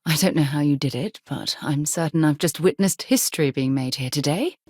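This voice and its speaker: Husky, earnest space explorer. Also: female voice, deep voice, a little fast, darkish tone, no room sound, clean studio sound. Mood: thoughtful, composed. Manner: articulate, formal phrasing. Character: earnest, inquisitive, principled woman space explorer. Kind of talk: scripted video game dialogue.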